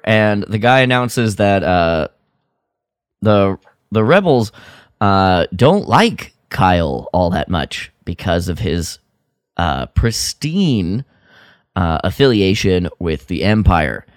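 A man co-hosting a podcast in casual review chat, halting as he thinks aloud and gives his opinions. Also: fairly low pitch, big pitch swings, no echo, clean studio sound. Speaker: podcast co-host. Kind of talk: casual review chat about a radio drama.

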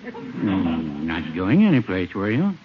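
Deadpan older neighbour, low but swooping, mildly sly, radio comedy, muffled recording. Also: male voice, slow pace, a little hiss or hum, exaggerated voice. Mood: mildly needling. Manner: mild, deadpan. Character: mild, dry, slyly needling older druggist neighbour. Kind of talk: 1950s radio sitcom acting.

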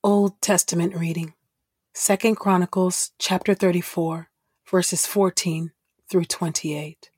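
Woman reading bible passages for a podcast, lowish husky voice, very dry sound, measured and formal. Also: steady tone, darkish tone, no room sound. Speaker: Bible reader and podcast host. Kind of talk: scripted scripture reading.

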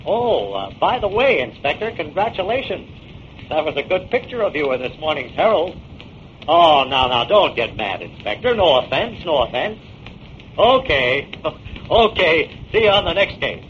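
Lively, confident radio detective man. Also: on the high side, normal speed. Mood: breezy, teasing. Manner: assured, explanatory. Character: confident male detective explaining a solved case. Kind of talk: 1940s radio drama acting. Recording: muffled, noisy 1940s radio recording.

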